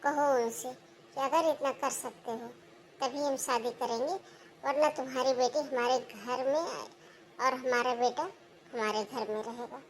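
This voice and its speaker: A woman's voice: high-pitched, steady tone, measured pace, a little hiss or hum, poor audio. A woman voicing a greedy, scheming young daughter-in-law in a cartoon, bossy and pushy. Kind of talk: Hindi cartoon voice acting.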